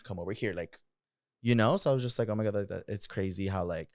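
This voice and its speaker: Casting: male podcast co-host, mid 20s, low voice, dry sound, slangy casual storyteller. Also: fast talker, dry recording. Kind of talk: casual sibling podcast chat.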